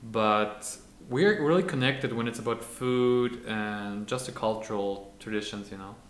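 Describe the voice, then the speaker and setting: A man's voice: on the low side, a little slow. German man chatting in an echoey room. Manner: explains carefully, restarts phrases. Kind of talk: casual chat.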